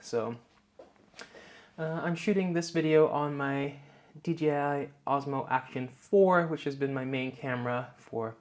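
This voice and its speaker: Dutch man, mid-pitched, light Dutch accent, relaxed rambling vlogger with plenty of "uh"s, clip-on mic in a home office. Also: unhurried. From Netherlands. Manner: relaxed, many filler "uh"s, rambling explanations. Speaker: YouTuber. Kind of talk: casual vlog talk to camera. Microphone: wireless clip-on mic.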